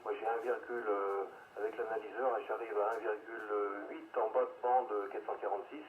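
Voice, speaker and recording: A man's voice: on the low side, little pitch movement, brisk. A man chatting casually over amateur radio in a hesitant, rambling way, through a radio transmission. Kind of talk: casual radio group chat. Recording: narrow phone-like radio link, static noise.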